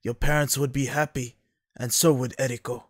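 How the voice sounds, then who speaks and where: A man's voice: medium pitch, steady tone, normal speed, background noise, natural voice. A man voicing a kindly, earnest noble uncle in an anime audio drama. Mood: earnest, fatherly.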